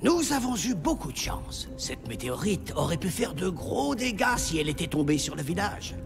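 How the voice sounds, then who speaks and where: A man's voice: high voice, sing-song, creaky, full character voice. A man playing a learned, earnest village sage in a cartoon, explaining things in careful words. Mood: intrigued. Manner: explanatory, measured wording. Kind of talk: French animated cartoon acting. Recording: music behind the dialogue.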